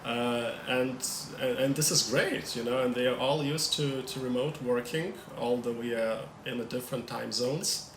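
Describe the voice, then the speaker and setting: A man's voice: mid-range voice, steady tone, moderate pace. A man from Lithuania with a Lithuanian accent, a tech team lead chatting relaxedly in an interview. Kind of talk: casual interview answers. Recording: echoey room with faint noise.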